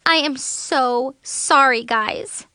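A woman's voice: mid-range voice, lively intonation, unhurried, sharp tone, clean tone, no echo. A woman voicing an apologetic, emotional teenage girl in a dramatic story. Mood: tearful apology. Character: distraught, apologetic teenage girl, self-blaming middle schooler.

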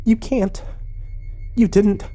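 Man putting on a shrill, haughty, spiteful young wife. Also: animated, normal speed, darkish tone, faint music behind. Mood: alarmed, threatening. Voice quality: shrill, high-pitched. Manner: haughty, scoffing, stammering when cornered. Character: shrill, smug young wife, spiteful, scornful, panicky when threatened.

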